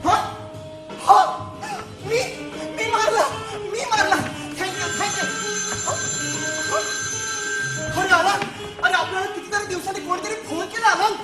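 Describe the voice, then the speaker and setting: Woman doing a nervous lonely soldier guy, high excitable chatter, repeats himself, stage comedy, echoey w music behind. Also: a little slow, pauses often. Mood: excited. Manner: excitable, rambling, stammering and repetitive. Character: jittery, lonely, talkative male soldier at a forgotten post. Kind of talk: comic stage acting.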